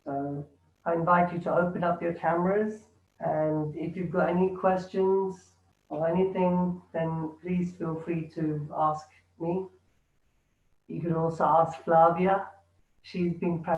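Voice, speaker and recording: A man leading an online meditation session, speaking in a calm, gentle and inviting way over a video call. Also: on the high side. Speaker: meditation group leader. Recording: echoey, distant, tinny video call audio.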